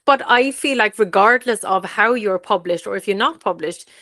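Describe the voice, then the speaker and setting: A woman's voice: medium pitch, moderate pace, sharp tone, no room sound, clean studio sound. A woman from Sweden, a non-native English speaker, chatting in a rambling, self-correcting way as an interview guest. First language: Swedish. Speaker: interview guest, fiction author. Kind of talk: casual conversational interview answers.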